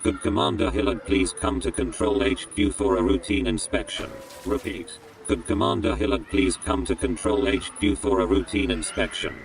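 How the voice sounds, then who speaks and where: An actor playing a space station announcer making a formal public address in a sci-fi audio drama. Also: male voice, fairly low pitch, moderate pace, creaky, hardly any pauses. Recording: distant, off-mic announcement.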